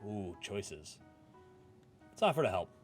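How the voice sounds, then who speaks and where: A man's voice: lowish voice, big pitch swings, takes it slowly. A man hosting a gaming channel, reading a visual novel aloud as a storyteller. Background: game music.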